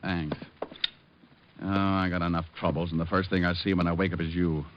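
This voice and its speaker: An actor playing a wisecracking, unflappable private detective in old-time radio style, with dry, flippant delivery. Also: male voice, low-pitched, flat delivery, moderate pace, noisy background, lightly acted. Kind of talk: 1940s-50s radio detective acting and noir narration. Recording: muffled vintage radio recording.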